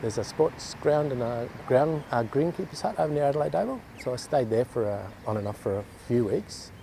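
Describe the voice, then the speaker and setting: A man's voice: medium pitch, animated, fairly quick, creaky, mellow, a little hiss or hum. A man with an Australian accent, speaking reflectively in a documentary interview.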